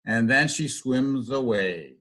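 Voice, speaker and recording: An older man reading a rhyming picture book aloud to children in an expressive, sing-song rhythm. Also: mid-range voice, takes it slowly.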